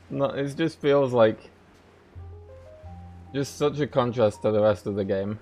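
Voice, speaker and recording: A man's voice: medium pitch, mellow. A man giving casual gameplay commentary, musing in hesitant bits. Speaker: game streamer. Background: game music.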